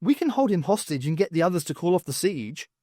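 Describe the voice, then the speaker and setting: A man's voice: a little high, sing-song, quick pace, dark tone, no room sound. A man acts a belligerent, suspicious dwarf for an audiobook. He talks bluntly and challenges whoever is in charge. The recording is dry and close. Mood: urgent. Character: moody, suspicious, belligerent male dwarf fighter.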